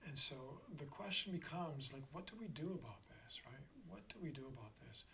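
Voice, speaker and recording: A slightly hoarse man hosting a reflective spiritual talk, speaking in a halting, deliberate way and often checking in with the listener. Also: on the high side, lively intonation, sharp tone, a little hiss or hum. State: slightly hoarse after a throat infection. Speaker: host of a spiritual learning channel.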